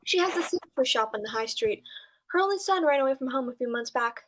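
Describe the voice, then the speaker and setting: A woman playing a sharp-tongued village innkeeper in a radio drama, with a brisk, disapproving manner. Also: sits high, animated, a little hiss or hum. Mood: businesslike.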